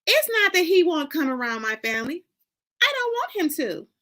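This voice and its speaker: A woman's voice: extremely high pitch, big pitch swings, moderate pace, bright, forceful tone, pitched above the performer's own voice, heavily acted. A woman voicing a defiant young woman who protests defensively to her mother in a dramatic book reading.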